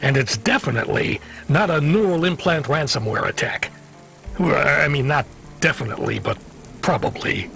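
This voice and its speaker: A man's voice: on the high side, animated, moderate pace, vocal fry, a bit bright, faint music behind. An actor playing a weary, avuncular dispatcher in a video game, hesitant and folksy as he hedges awkwardly. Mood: awkward, apologetic.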